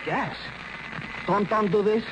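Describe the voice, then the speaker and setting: High-voiced Spanish-accented young henchman, old radio. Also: slow pace, lo-fi sound. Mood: alarmed. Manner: broken English, defiant. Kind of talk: 1940s radio drama acting. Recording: noisy old radio recording.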